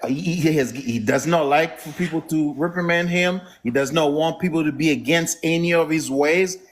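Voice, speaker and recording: A man from the Congo whose first language is French, speaking with a Congolese French accent as a caller making his points in an earnest, measured, orderly way. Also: fairly high pitch. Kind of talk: earnest call-in opinion.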